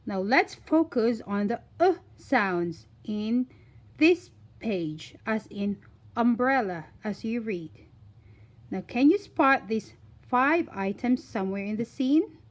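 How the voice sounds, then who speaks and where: A woman reading a scripted phonics lesson for young children, deliberately enunciating single words in an instructional way. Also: fairly high pitch, animated. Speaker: children's phonics teacher and reader.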